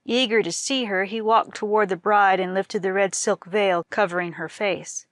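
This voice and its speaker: Woman, storyteller, mid-pitched, expressive read-aloud folktale delivery, dry close recording. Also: normal speed, dry recording. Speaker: storyteller reading aloud for a library event.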